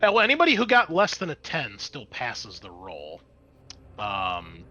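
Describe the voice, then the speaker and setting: A man's voice: medium pitch, huge pitch swings, unhurried, bright, forceful tone, muffled recording. A man narrating a tabletop roleplaying game as game master in a casual, conversational way, swearing freely.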